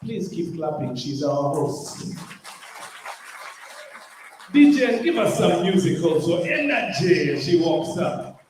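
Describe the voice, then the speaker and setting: A man with a Ugandan accent, emceeing a conference from a stage mic in an energetic, crowd-rousing way. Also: on the high side, takes it slowly, pauses often, slight background noise. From northern Uganda. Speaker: conference master of ceremonies. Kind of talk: lively emcee hosting a conference. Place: large conference hall.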